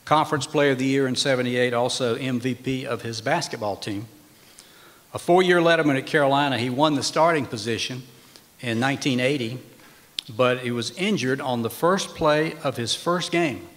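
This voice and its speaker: A man's voice: mid-range voice, a bit bright. Man introducing an inductee in a reverberant hall. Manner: reads the honoree's record in measured, emphatic phrases. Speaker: master of ceremonies. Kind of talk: formal ceremony introduction. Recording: long reverb of a large hall.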